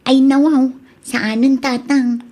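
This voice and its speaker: A woman's voice: sits high, level tone, slow pace, mellow. A woman acting an earnest, protective adult daughter in an Ilocano-accented radio drama. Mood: worried scolding. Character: protective, indignant adult daughter fretting over her father.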